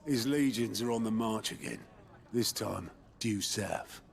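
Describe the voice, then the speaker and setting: An actor playing a sardonic underworld informant in a video game, sly and colloquial, with a British accent and gravelly voice. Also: male voice, lowish voice, a little slow. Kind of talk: video game cutscene acting.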